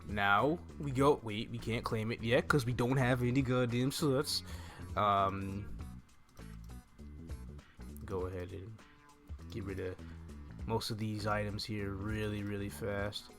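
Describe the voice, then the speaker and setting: A man's voice: lowish voice, steady tone, takes it slowly, pauses often. A young man giving casual, informal walkthrough commentary as a gaming video host, talking through each step into a close microphone. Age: young adult. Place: indoor room at home. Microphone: desk microphone close to the mouth. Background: faint game music.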